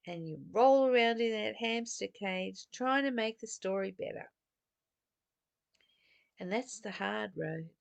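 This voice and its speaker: A woman giving an intimate, meditative spiritual teaching, speaking gently and affectionately to the listener in deliberate short phrases with emphatic stresses. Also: mid-range voice, gravelly, dry recording.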